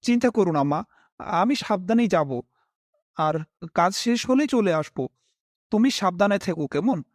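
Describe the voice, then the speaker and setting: Man acting a high-voiced, expressive, worried family man. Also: unhurried, no echo, clean studio sound. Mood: reassuring, insistent. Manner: dramatic, emotive. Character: worried, dutiful family man narrating his own misfortune. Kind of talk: first-person horror story narration with acted dialogue.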